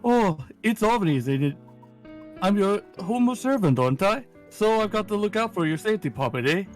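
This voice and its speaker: A man's voice: sits high, expressive, a little fast, faint music behind, pitched above the performer's own voice. A man voicing a chummy, protective young manservant with a Canadian accent and folksy, slangy phrasing that ends in "eh".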